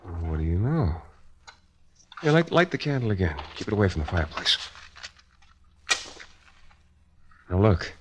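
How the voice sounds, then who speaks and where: A man's voice: deep voice, very animated, a little fast. An actor playing a tough, wry private detective who narrates his own case in a clipped 1940s radio style. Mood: brisk, explaining. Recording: noisy vintage radio recording.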